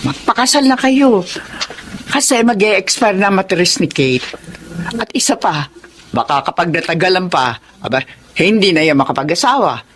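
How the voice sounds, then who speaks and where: A woman's voice: on the low side, lively intonation, normal speed, long pauses, a bit bright, a little hiss or hum. An actress playing a frank mother who gives her blessing to her daughter's marriage. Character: blunt mother, now understanding. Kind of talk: radio drama acting.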